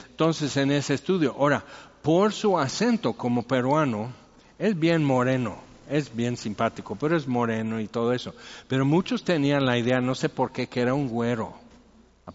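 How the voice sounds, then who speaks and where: A man with a Mexican accent giving an informal, conversational sermon as a pastor, rambling with joking asides. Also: mid-range voice. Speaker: pastor preaching. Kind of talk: informal expository sermon with anecdotes. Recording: echoey hall, dull muffled sound.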